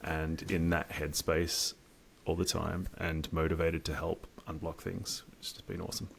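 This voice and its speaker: A man's voice: low voice, flat delivery, moderate pace, creaky, slightly rough. A man speaking casually and informally as a guest in an interview about his engineering work.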